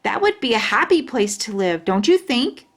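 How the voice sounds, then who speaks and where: Lady doing a bratty stubborn little kid from a storybook, high voice, very insistent. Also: very animated, moderate pace. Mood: excited. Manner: childish grammar, emphatic and insistent. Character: stubborn, dramatic little girl narrating her own life.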